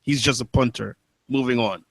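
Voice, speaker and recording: A man's voice: medium pitch, normal speed, gravelly. A man on a sports talk show cutting in dryly to keep things moving. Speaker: podcast co-host. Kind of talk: casual sports banter.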